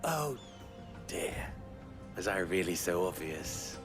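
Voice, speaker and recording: Silver-tongued game schemer, wry, arch, theatrical, swinging pitch, music underneath. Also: male voice, very animated, slow pace, full character voice. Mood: playfully mocking. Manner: wry, arch, leisurely formal phrasing. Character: suave, teasing male underworld fixer, wry and theatrical. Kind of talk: video game cutscene acting.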